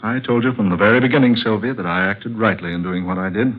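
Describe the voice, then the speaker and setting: An actor playing a suave, calculating killer in an old radio drama, with a formal, theatrical manner. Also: male voice, lowish voice, fairly even intonation, fast, natural voice. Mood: suave, controlling. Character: suave, calculating killer, a man haunted by his guilt. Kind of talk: 1940s radio drama acting. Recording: muffled old radio recording with music.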